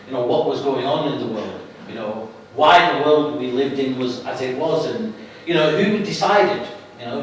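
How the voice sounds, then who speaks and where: A middle-aged man with a Lancashire accent giving an earnest public talk to an audience, restarting his sentences often. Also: on the high side. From England, Lancashire. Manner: earnest, halting with frequent restarts. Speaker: guest speaker. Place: hall at an evening event. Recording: echoey hall from far off, faint hiss.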